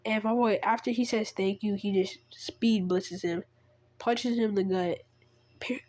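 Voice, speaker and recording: A woman who is feeling sick tells a story off the cuff. She rambles, stops and restarts often, and her voice sits at an ordinary pitch. The sound is very dry and close. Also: fairly even intonation, unhurried, darkish tone, no echo. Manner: rambling, many fillers and restarts. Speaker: fan storyteller. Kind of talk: casual spoken retelling of a made-up story.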